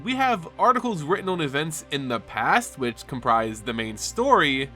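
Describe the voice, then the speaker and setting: A man's voice: fairly high pitch, pushed and bright. A man hosting and narrating a fantasy lore show, reading a script in a dramatic, emphatic storytelling manner. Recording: background music under the voice. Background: music.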